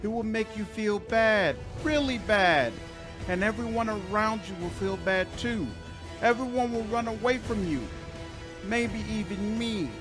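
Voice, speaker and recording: A man's voice: high voice, measured pace, voice pushed up. A man voicing a small, naive female dragon in a fantasy game, pleading in a childlike, plaintive way over music. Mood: anxious pleading. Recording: music behind the voice.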